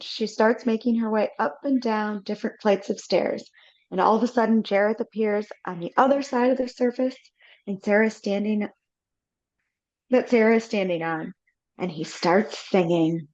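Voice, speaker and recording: A woman's voice: medium pitch, moderate pace. Woman reading stage directions over a video call. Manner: plain, steady reading with small slips. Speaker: narrator. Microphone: computer mic on a video call. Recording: slightly dull video call audio.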